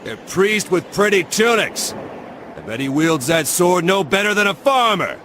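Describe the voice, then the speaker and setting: Brief: a scornful game bully, high, swooping, mocking, slight noise behind. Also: male voice, big pitch swings, a little slow, sharp tone, full character voice. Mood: scornful jeering. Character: sneering bully taunting a young noble.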